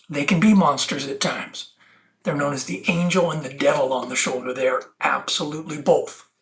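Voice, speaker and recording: An earnest video host with a medium-pitched voice delivers a rambling spiritual monologue. The delivery is preachy and emphatic, pulling words apart into wordplay and addressing viewers directly. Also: a bit bright.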